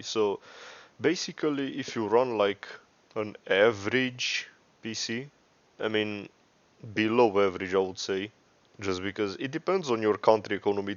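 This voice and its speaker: Low-voiced Romanian man, casual tutorial. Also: no echo. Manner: rambling, hesitant, self-correcting. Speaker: tutorial host. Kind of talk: casual unscripted software tutorial. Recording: dry, dull lo-fi microphone.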